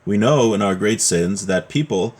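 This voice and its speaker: Low-voiced man teaching a religious text. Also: lively intonation, moderate pace, darkish tone. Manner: explanatory, checks with rhetorical questions. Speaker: religion teacher giving a class. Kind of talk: informal religious text lesson, reading and explaining.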